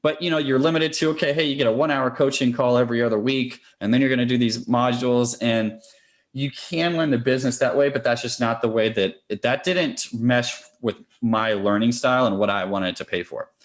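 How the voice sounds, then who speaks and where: Male podcast guest, mid-pitched voice, conversational, step-by-step explainer, investor. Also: fairly even intonation, brisk. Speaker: interview guest, real estate investor.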